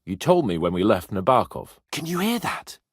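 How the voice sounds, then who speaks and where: A cocky young medieval nobleman for a video game. His delivery is theatrical and haughty, swinging very widely in pitch with a hot-tempered swagger. The sound is close and dry. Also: sing-song, moderate pace, husky, no room sound, exaggerated voice. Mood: tense, irritated. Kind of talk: dramatic medieval game dialogue.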